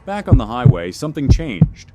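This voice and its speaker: A man narrating a scripted first-person horror story in a measured, suspenseful manner. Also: medium pitch, sing-song, muffled recording, very noisy.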